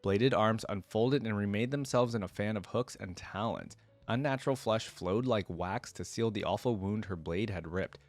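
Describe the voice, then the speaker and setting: A man's voice: on the low side, normal speed, hardly any pauses, no room sound, soft background music. A man hosting a reaction video, reading a fantasy story aloud and adding casual fan commentary.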